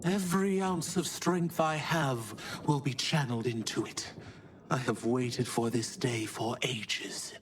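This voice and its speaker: An actor playing a powerful ancient witch roused from long sleep in a fantasy game, speaking haltingly and intensely. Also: mid-range voice, lightly acted. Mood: weary resolve. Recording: noisy ambience behind the voice. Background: game ambience noise.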